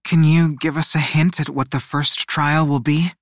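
A man's voice: fairly high pitch, level tone, normal speed, no room sound, studio quality, voice pushed up, close to an everyday voice. A man voicing a sweet, coaxing young girl competitor in an audiobook, with a wheedling manner.

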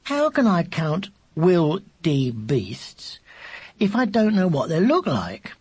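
A narrator voicing a silly, chatty little boy who asks endless questions in a slow, innocent, drawn-out way in a children's story. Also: medium pitch, huge pitch swings, darkish tone, breathy edge, exaggerated voice. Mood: cheeky, insistent.

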